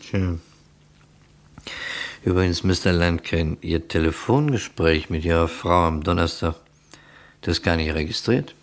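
Low-voiced, polite police investigator. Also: male voice, unhurried, pauses often. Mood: soothing. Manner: polite, probing, soothing. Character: calm, probing male police investigator in crime drama. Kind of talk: German radio crime drama acting.

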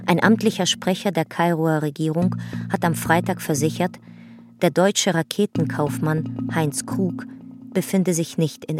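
A woman narrating press and archive notes for a radio drama in a measured, factual way. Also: low-pitched, lightly acted. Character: matter-of-fact female documentary narrator reading press and archive. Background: music.

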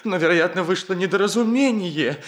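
A man's voice: sits high, a little fast, lightly acted. An actor playing a shy, bewildered and polite young student in a 1960s radio comedy, hesitant and courteous in his speech. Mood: flustered. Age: young adult.

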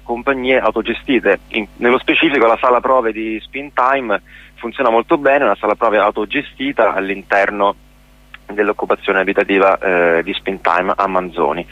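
A man speaking as an actor and guest in a radio interview, explaining in a halting way and often searching for words. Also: fairly low pitch, a bit bright, dry recording, lo-fi sound. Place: radio programme.

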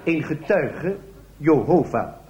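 A man playing a solemn, old-fashioned radio announcer in a parody, with formal, drawn-out delivery. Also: fairly high pitch, animated, highs cut off, very noisy. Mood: mock solemn.

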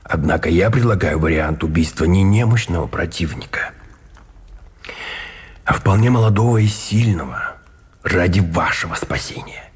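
An actor playing a cold, calculating imprisoned army colonel in a Russian radio drama, measured, formal and conspiratorial, with a husky voice. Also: male voice, deep voice, expressive, exaggerated voice. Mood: insistent, calculating. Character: cold, calculating army colonel, a prisoner plotting murder for duty. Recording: studio radio play with faint music behind.

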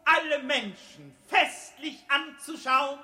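Very shrill pompous magistrate. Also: male voice, pushed and bright, exaggerated voice. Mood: pompous decreeing. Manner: pompous, drawn-out official phrasing. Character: pompous magistrate issuing decrees of forced cheer. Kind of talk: theatrical radio play proclamation.

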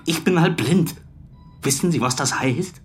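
An actor playing a beaten-up, bitter football goalkeeper in a crime radio drama, giving aggrieved, halting testimony. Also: male voice, on the high side, natural voice. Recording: faint music under the voice.

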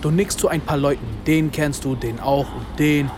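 A man's voice: fairly high pitch, normal speed, background noise, lightly acted. A man playing a street-smart narrator in a radio drama, telling a gritty story in rhythmic, staccato phrases. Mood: gritty, cool. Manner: rhythmic, staccato spoken-word delivery in short phrases. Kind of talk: scripted radio drama narration in second person. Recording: loud music bed, urban street sounds.

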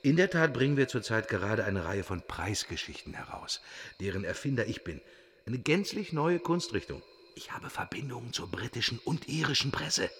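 A man acting a boastful, evasive gentleman in a radio drama, formal, self-important and eager to ingratiate himself. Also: medium pitch, sing-song, normal speed, slightly rough.

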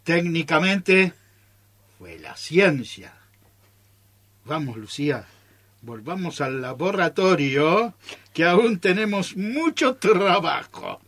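A man's voice: a little high, expressive, slow pace, long pauses, heavily acted. An Argentine man acting a reckless, bossy amateur scientist who brushes off every worry in a radio play. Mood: bossy, confident. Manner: hushing, dismissive, overconfident.

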